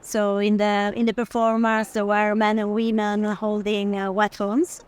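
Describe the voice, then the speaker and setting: A woman's voice: medium pitch, level tone, unhurried, clean tone. A woman giving an informal explanation of an artwork, step by step, with some hesitation. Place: art fair.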